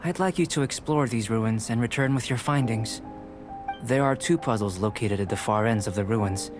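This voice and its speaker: A man playing a calm, polite young alchemist. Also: lowish voice, close to an everyday voice. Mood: courteous. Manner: measured and polite. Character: calm young male alchemist, curious and composed. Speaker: alchemist researcher. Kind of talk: video game voice acting. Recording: game audio with music behind.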